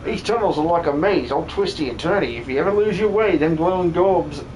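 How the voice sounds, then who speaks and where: Man voicing a high-pitched cowardly Cockney miner. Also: animated, brisk, darkish tone, natural voice. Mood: helpful, chatty. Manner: slangy, theatrical, exclamatory. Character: cowardly, cheeky working-class male miner, grumbling and excitable. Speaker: streamer voice acting game characters. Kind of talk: fan dub of video game dialogue. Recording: clearly noisy background.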